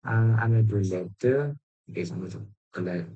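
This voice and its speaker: A man giving casual gameplay commentary in a halting, mumbled way. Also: on the low side, mellow, breathy edge, lo-fi sound. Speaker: game streamer.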